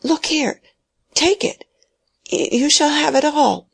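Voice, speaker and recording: A woman's voice: sits high, slow, vocal fry, dry recording, voice pushed up, heavily acted. A woman voicing a stubborn, agitated dying old man whose voice shakes as he pleads and commands, in a storybook reading.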